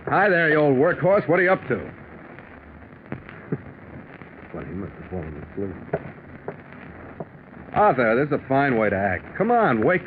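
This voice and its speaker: A nervous businessman in love with another man's wife, played by a male actor in a 1940s radio mystery. His voice swings widely between hushed worry and urgency. The old recording is thin and hissy. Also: mid-range voice, very animated, fairly quick, narrow, tinny audio, close to an everyday voice. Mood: forced cheer. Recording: scratchy band-limited 1940s radio recording.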